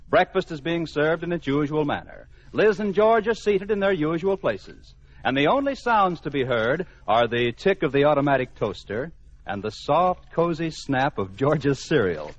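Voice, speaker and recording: High, lively American vintage radio announcer. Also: male voice, normal speed. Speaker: announcer, narrator. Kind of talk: 1940s radio announcing, scripted comic narration. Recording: muffled old radio recording.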